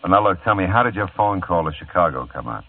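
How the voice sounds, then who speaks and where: A man's voice: deep voice, animated, fast talker, a little hiss or hum. An actor playing a world-weary, wisecracking private eye who narrates his case in an old radio drama. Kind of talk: 1940s radio acting. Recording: tinny old radio broadcast with music.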